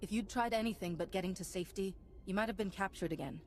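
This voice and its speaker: An actress playing a stern, principled Jedi knight in a video game, speaking in a measured, earnest and commanding way. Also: female voice, medium pitch, natural voice. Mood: businesslike.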